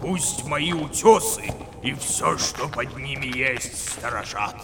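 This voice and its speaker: A man voicing an evil stone giant of the mountains who speaks in a slow, menacing drawl. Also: mid-range voice, gravelly, noisy background. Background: music.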